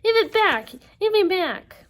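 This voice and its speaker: Ancient supernatural crone performed by a woman, high, screechy, quarrelsome, frantic; fantasy audiobook. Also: big pitch swings, moderate pace. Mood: furious squabbling. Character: three squabbling ancient supernatural hag sisters driving wildly.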